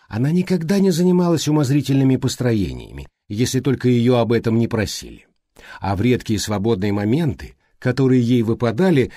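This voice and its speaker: A male audiobook narrator reads literary prose. His voice is mellow and moves freely in pitch. The recording is close and dry. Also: husky, no echo.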